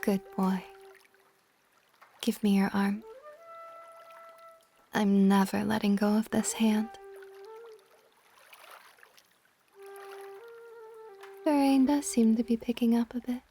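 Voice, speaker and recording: A woman playing a possessive, tender dragon goddess in an intimate ASMR roleplay, speaking softly and breathily, often near a whisper. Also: medium pitch, level tone, faint music behind, close to an everyday voice. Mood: possessive, contented. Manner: soft, breathy, slow, often dropping to whispers.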